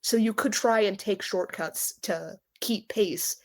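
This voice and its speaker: A woman's voice: mid-range voice, slow. A woman acting as game master, narrating and explaining the scene to players in an easy conversational way. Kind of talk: narrating and refereeing a tabletop game, unscripted.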